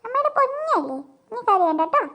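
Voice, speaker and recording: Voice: very high voice, big pitch swings, fairly quick, bright, forceful tone, exaggerated voice. A performer voicing a flustered mother in a comic family cartoon, soothing and placating her children. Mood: coaxing, placating.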